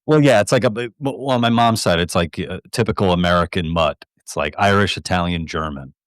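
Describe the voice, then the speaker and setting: Low-voiced joking podcast host, a man. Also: fairly quick, dry recording, clean studio sound. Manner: joking, loose and irreverent. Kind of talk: casual chat.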